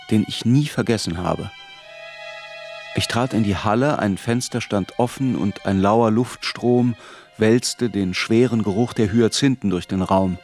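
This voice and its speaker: An actor playing a man recalling his youth, narrating a literary radio drama in a measured, grave, reflective way. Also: fairly low pitch, close to an everyday voice.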